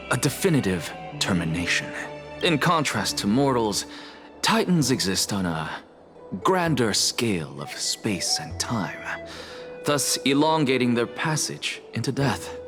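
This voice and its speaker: A cold, cerebral scholar in a video game, speaking in a lowish voice at a slow, deliberate pace. His pitch rises and falls expressively as he lectures with haughty precision, backed by game music. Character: aloof arrogant male scholar, cold and cerebral. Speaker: professor. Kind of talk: game cutscene voice acting. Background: game score music.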